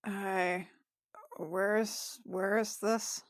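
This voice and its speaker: A woman's voice: medium pitch, fairly even intonation, very slow, no echo. Casual woman player with a dry, close sound. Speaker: tabletop game player. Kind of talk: casual chat and improvised role-play.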